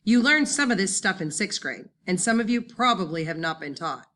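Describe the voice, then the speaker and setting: Woman reading as brisk, bright-voiced male maths teacher. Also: fairly low pitch, animated. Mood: explaining. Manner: instructive, clipped classroom address. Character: male middle-school maths teacher, brisk but reassuring.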